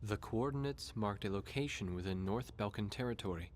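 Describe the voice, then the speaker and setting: A man playing a reflective war chronicler, narrating in a measured, somber way over music. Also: on the low side, a little hiss or hum, close to an everyday voice. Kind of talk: scripted narration.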